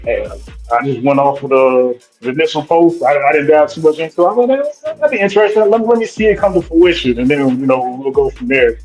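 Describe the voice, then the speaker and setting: A man co-hosting a casual anime podcast, a bit raspy and under the weather, talking in an easygoing, joking way. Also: fairly high pitch, fast talker, a little mellow, faint music behind, poor audio. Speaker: podcast co-host.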